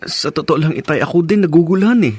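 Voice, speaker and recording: An actor playing a gentle, troubled young husband and dutiful son in a radio drama, earnest and pleading. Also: male voice, fairly high pitch, animated, fast, breathy edge, dry recording, studio quality. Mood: confused, earnest. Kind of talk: Tagalog radio drama acting.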